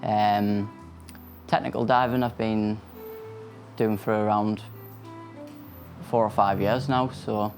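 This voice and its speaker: A man's voice: on the low side, slow pace, long pauses, soft background music. A man, a technical diver giving interview answers full of "um"s and restarts. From Sunderland, England. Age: late 20s. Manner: flat, many "um"s and restarts.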